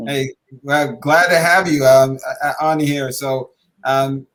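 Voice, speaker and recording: A middle-aged man hosting a show conversationally, halting often with filled pauses. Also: mid-range voice, steady tone, a bit bright, low-quality recording. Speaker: podcast host, basketball coach.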